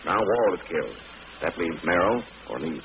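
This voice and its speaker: An actor playing a shrewd, confident gentleman detective in a vintage radio drama, brisk and assured. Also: sits low, big pitch swings, gravelly, heavily acted. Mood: assured, serious. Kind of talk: 1940s radio drama acting. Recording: noisy, muffled vintage radio recording.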